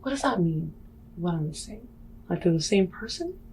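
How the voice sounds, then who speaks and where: A female tabletop player speaks in character with a mellow voice. Her pitch moves animatedly through short questions, with light noise and ambience behind her. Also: animated, normal speed.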